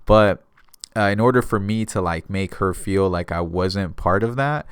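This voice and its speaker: A man's voice: low voice, normal speed, very noisy. An American man talking casually to viewers as a notary giving advice, explaining things in a conversational way by retelling exchanges. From San Diego area, California. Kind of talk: casual instructional vlog talk.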